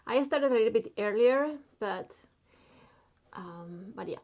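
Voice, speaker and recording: Slow-talking woman from Spain. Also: fairly high pitch, animated, a little mellow. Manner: halting, many fillers, reads chat messages aloud. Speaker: artist live streaming while drawing. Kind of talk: casual live stream chat.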